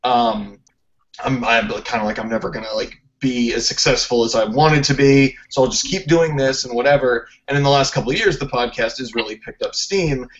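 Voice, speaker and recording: A man's voice: medium pitch, a little fast. A man hosting a podcast in casual chat, joking in a self-deprecating way and asking lots of questions. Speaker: podcast host. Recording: dull lo-fi podcast audio.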